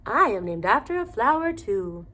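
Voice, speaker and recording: A woman's voice: a little high, big pitch swings, moderate pace, pushed and bright, exaggerated voice. Woman playing a gracious, assured music teacher. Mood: gently assured. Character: kind, dreamy grown woman music teacher, gently assured.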